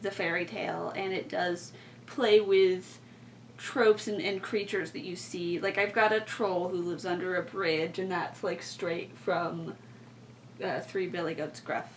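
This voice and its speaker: A young woman explaining things in a casual, rambling way, with plenty of informal filler words. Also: mid-range voice. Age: young adult. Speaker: podcast co-host and student writer. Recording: distant mic, faint background noise.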